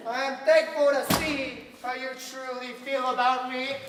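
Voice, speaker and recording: A man acts out a gullible, fussy old father who fakes his own death to test his family. His voice sits very high and carries theatrically. It rings through a very echoey hall, heard from far off with clear background noise. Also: flat delivery, normal speed. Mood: wounded sarcasm. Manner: theatrical, projecting to an audience. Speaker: stage actor. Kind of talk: stage play performance.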